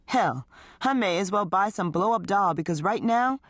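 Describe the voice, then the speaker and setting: A woman's voice: medium pitch, expressive, normal speed, no echo, studio quality, voice pushed up, natural voice. A woman reading as a bitter, sarcastic husband. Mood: hostile, sarcastic. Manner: hostile, sarcastic. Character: resentful, bitter married man in his forties.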